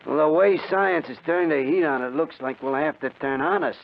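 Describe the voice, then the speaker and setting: Grumbling racketeer, muffled old radio. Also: male voice, on the high side, moderate pace, vocal fry. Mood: bitter, resigned. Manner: blunt, grumbling, tough-guy. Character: bitter, irritable male racketeer down on his luck. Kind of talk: 1950s radio drama acting.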